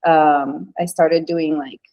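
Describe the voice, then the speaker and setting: A woman in her thirties, originally from Venezuela, talking easily as a guest on a podcast. Her voice sits a little low, and her speech is loose and full of "um"s and restarts. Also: steady tone, takes it slowly, mellow. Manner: chatty, lots of fillers and restarts. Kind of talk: casual interview chat.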